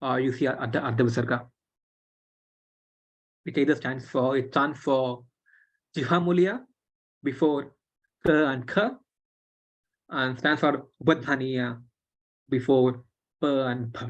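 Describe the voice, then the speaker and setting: Relaxed woman teaching over video call. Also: rumbling low, measured pace, long pauses. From Tamil Nadu, India. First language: Telugu. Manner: relaxed, many fillers and restarts. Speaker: script teacher. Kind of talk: online class explanation. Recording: dull lo-fi video call audio.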